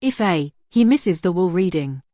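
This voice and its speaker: A woman's voice: fairly low pitch, expressive, normal speed, dark tone, dry recording, close to an everyday voice. A woman acting dramatically in a radio drama. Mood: spiteful.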